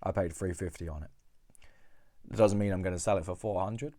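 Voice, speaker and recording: A man's voice: low voice, fairly even intonation, fairly quick, dark tone, no echo, clean studio sound. A coin dealer with a cold, chatting casually to camera about coins he bought, rambling and repeating words. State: has a cold.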